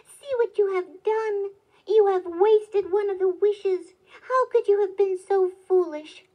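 Woman voicing an excitable, high-pitched woodcutter's wife. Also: a little slow, muffled recording. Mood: scolding. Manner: exclamatory, emphatic. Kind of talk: children's storybook character voice.

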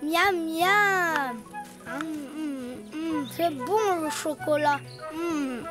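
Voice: high voice, extremely slow, over loud music. A performer voicing a cheerful, proud little boy donkey in a preschool cartoon, with a childish, earnest singsong manner. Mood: proud, content.

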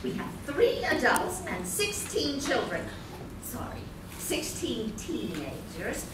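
A woman's voice: a little high, wildly swooping pitch, takes it slowly, full character voice. A woman playing an organized, fussy, kindly older aunt in a live radio play reading. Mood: orderly, fussy. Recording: echoey meeting room, distant, noisy.

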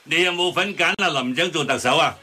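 A man's voice: a little high, fairly quick, bright, forceful tone, husky, heavily acted. A man playing a brash, dismissive middle-aged character who blusters and argues in a radio drama. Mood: scornful, blustering.

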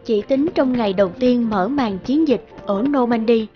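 A woman reading scripted narration for an animated story. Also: fairly high pitch, moderate pace, lo-fi sound, faint music behind.

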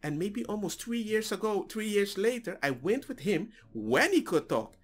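A man's voice: high-pitched, very animated, normal speed. A man in his forties whose first language is Papiamento, musing casually in a reaction vlog from a small home room, with restarts and slips. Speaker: reaction video host. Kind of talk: casual reflective monologue. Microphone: headset.

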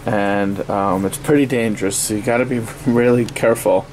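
A man giving a casual, halting, offhand unboxing review at a desk in a small indoor workspace. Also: lowish voice. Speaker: product reviewer. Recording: steady noisy room ambience.

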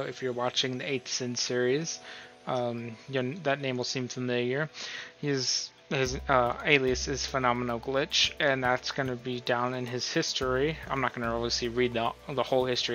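Bright-voiced man giving a casual tutorial, lo-fi. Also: mid-range voice. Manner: informal, thinking aloud, often checking with "right?". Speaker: tutorial host. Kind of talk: casual screen-recorded tutorial. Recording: dull, lo-fi sound with music.

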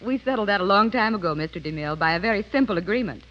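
A woman's voice: mid-range voice, lively intonation, racing. Young woman on dull old radio. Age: young adult. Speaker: interview guest, personal business manager. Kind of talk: scripted 1930s radio interview.

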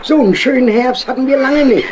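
An actor playing a chatty, friendly keeper in a children's audio drama, on a noisy old record. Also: male voice, very high voice, fairly quick. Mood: chatty, amused. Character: chatty, friendly railway and canal lock keeper.

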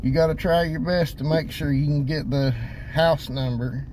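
A man's voice: a little high, steady tone, moderate pace, rough recording. A man in his thirties, casually talking to camera from inside his car, giving plain, practical tips. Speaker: delivery driver vlogger. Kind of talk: casual vlog talk to camera. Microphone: phone camera on dashboard. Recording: loud car and street noise behind.

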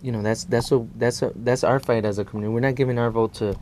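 A man in his thirties from El Salvador, discussing politics as an activist guest in halting, repetitive phrases. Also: mid-range voice, fairly even intonation, noisy background. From born in El Salvador. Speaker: guest, immigrant rights activist. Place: small indoor TV studio.

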